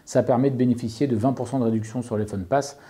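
Man explaining, very dark muffled tone. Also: medium pitch, fairly even intonation, quick pace. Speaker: property owner giving advice and a review. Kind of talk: informal explanatory talk, answering an interviewer.

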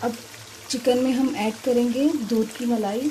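A woman hosting a cooking video, giving calm step-by-step instructions and softly murmuring a blessing before each ingredient goes in. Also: on the high side, a little slow. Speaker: cooking channel host. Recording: noisy kitchen recording with sizzling. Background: frying and sizzling in the pan.

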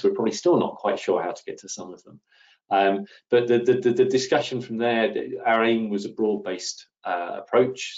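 A man with a slight cold and a gravelly voice, speaking as a panelist in a webinar from a home office, measured and pragmatic, with many fillers. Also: sits low, darkish tone. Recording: distant dull webcam audio.

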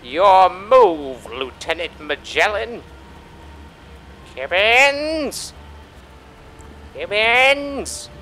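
A man's voice: high voice, animated, very slow, pauses often. A man giving rambling video game commentary, swearing freely and hamming it up theatrically. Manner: foul-mouthed, theatrical, breaks into mock shouts. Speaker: host of a video game playthrough.

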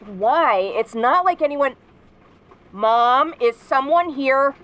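A woman acts out a tsundere tomboy in an audio roleplay. She is sassy and easily flustered, talking casually and defensively, with sarcasm that covers her softer side. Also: high voice, expressive, slow pace, exaggerated voice. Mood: impatient. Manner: casual, defensive, sarcastic, hesitant when sentimental.

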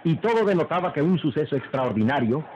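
A man's voice: on the high side, sing-song, fast talker, slight background noise. A man performing as the solemn, grandiloquent narrator of an old melodramatic radio serial. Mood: ominous, solemn. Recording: dull old radio recording, loud music.

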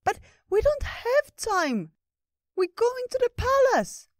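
A man voicing an excitable small squirrel creature in a storybook, shrill and breathless. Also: slow, dry recording, studio quality, heavily acted. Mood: excited.

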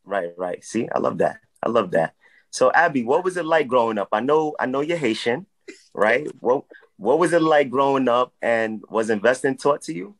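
A man in his thirties co-hosting a podcast from home over a video call, enthusiastic and quick to praise the guest. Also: mid-range voice, expressive. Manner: enthusiastic, repeats "I love that". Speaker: podcast co-host. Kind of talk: casual podcast interview.

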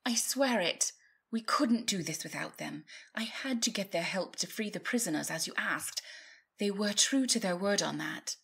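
A pompous griffin courtier acted by a woman with a husky, creaky voice that rises and falls theatrically. She sounds haughty and devoted, quick to take offence in a fantasy audio drama. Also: mid-range voice, expressive, a bit bright, exaggerated voice. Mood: earnest, anxious. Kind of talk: fantasy audio roleplay acting.

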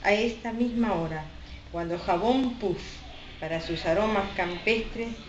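Argentine woman, radio serial announcer, medium pitch, echoey muffled home recording. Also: unhurried, noisy background. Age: middle-aged. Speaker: amateur writer reading her own story. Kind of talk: radio soap announcer narration. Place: living room at home, indoors.

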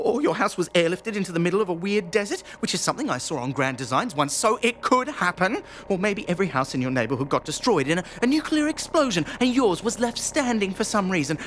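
A man's voice: high voice, fairly bright tone, slight background noise. A man playing a panicky, bewildered young man in a science fiction comedy audio drama. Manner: flustered, incredulous.

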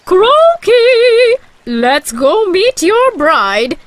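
High-pitched bossy mother toad. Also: big pitch swings, faint music behind, heavily acted. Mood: excited. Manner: drawn-out, commanding delivery. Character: bossy old mother toad, gleeful and scheming. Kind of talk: children's storybook character acting.

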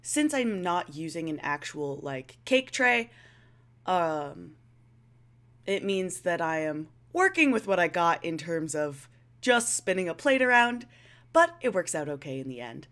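A woman's voice: fairly low pitch, big pitch swings, a little slow, a bit bright. A young woman giving casual, rambling commentary as a video creator, playful and self-deprecating.

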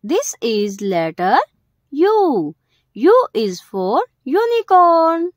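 A woman narrates a lesson for young children in short, simple phrases with the key words stressed. Her voice is fairly high and very animated in pitch. The sound is dry and close. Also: extremely slow, no room sound. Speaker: host of a children's alphabet video.

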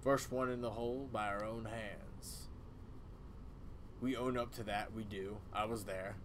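A man's voice: on the low side, steady tone, unhurried, a little hiss or hum, close to an everyday voice. A man voicing a grim, self-righteous old small-town cult elder with a folksy way of talking.

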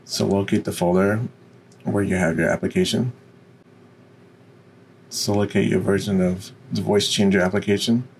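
A man with a deep voice giving an unscripted, step-by-step software tutorial. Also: steady tone, normal speed, creaky, pauses often, slight background noise. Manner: instructional, step by step. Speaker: tutorial presenter.

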